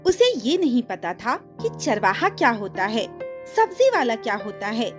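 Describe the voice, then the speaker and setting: A woman's voice: a little high, big pitch swings, normal speed, faint music behind. A woman giving scripted narration as the narrator of a story.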